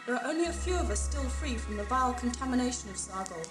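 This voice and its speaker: A woman playing a grave, resolute village rebel leader in an audio drama, stern, formal and measured. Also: a little high, lively intonation, roomy sound, music in the background, close to an everyday voice.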